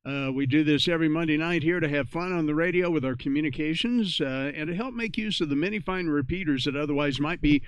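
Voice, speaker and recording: A man's voice: a little high, quick pace, hardly any pauses, clear, resonant tone, no room sound. A man hosting an amateur radio net, reading out announcements and procedural reminders in an informal, conversational way.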